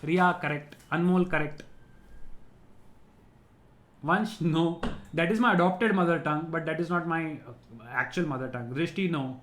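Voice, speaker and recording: A man's voice: on the high side, normal speed, pauses often, fairly bright tone, echoey room, slight background noise. A middle-aged man with an Indian English accent, a native Tamil speaker, running a live online quiz class. He repeats each question, explains terms patiently and laughs easily while answering students. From Tamil-speaking family, raised in Gujarat.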